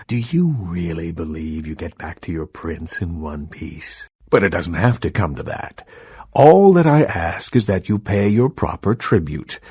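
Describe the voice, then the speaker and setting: An actor playing an urbane, sardonic vampire baron in a video game, who talks in a quietly threatening way. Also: male voice, fairly low pitch, slightly rough, no echo, clean studio sound. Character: old vampire baron, suave, menacing film buff.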